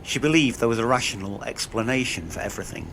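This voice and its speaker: A man's voice: medium pitch, animated, fast talker, fairly bright tone, slight background noise. A man narrating a scripted true-crime podcast as investigator, solemn and dramatic.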